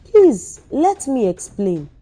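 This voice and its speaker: A desperate young male barber in an audio folktale, voiced by a woman storyteller. The delivery is soft-toned and unhurried, full of anguish and remorse. Music plays behind the pleading. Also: fairly high pitch, very animated, heavily acted.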